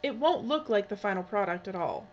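An actress playing a businesslike filmmaker in an audio drama, cautious, polite and matter-of-fact. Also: female voice, medium pitch, expressive, brisk, lo-fi sound, natural voice.

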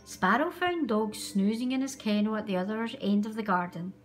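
A woman reading a children's storybook aloud as an animated narrator, with music behind her. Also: mid-range voice, normal speed. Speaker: storybook reader.

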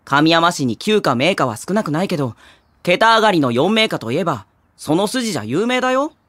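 A man playing a cheerful, excitable teenage schoolboy who gives animated battle commentary in a comedic anime audio drama. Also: a little high, fairly quick, dry recording. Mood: eager explaining. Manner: animated, expository, awestruck. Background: music.

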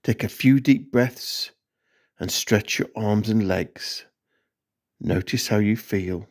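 A man narrating a scripted guided meditation in measured, instructional short phrases. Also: on the low side.

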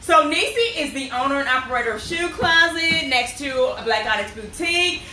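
A woman's voice: high voice, a little hiss or hum. A woman hosting her cooking show, chatting and teasing her friends on camera. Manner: lively, teasing, quick bursts. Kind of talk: casual chat with friends on camera. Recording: echoey room, far from the mic.

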